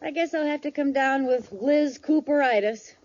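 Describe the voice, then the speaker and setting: A woman's voice: high voice, normal speed, a little mellow, close to an everyday voice. A woman playing a scheming housewife who feigns sickness with theatrical frailty in a 1950s radio sitcom. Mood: sly, scheming. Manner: theatrical, with exaggerated groans and put-on frailty. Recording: muffled old radio recording, audience, hiss.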